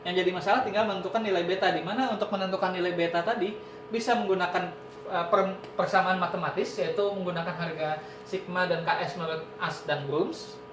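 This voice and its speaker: Male lecturer, step-by-step explanation, roomy echo, background hiss and rumble. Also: steady tone, brisk. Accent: Indonesian. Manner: explains step by step, often says "nah" and "ini". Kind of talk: online university lecture. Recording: echoey room with noise and rumble.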